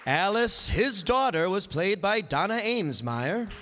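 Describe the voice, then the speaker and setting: Male live-show announcer and narrator. High voice, reverberant hall, faint background noise. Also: big pitch swings, measured pace, sharp tone, a faint crowd. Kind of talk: live stage announcing to audience.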